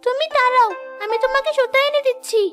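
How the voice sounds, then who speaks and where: Casting: kind little talking forest bird, extremely shrill and squeaky, sweet helpful delivery, children's music underneath. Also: female voice, steady tone, fast. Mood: helpful, instructing. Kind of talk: moral-story cartoon dub.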